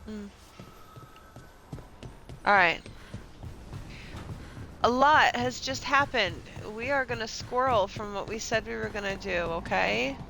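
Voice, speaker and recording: A woman's voice: on the high side, slow, creaky, long pauses, sharp tone. A woman giving casual live gameplay commentary, thinking aloud in a chatty, playful way with bits of slang. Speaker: game streamer. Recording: faint noise and game ambience behind.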